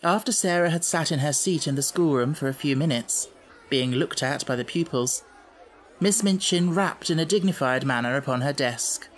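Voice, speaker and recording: A man's voice: fairly high pitch, normal speed. A man reading a children's story as scripted audiobook narration. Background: music.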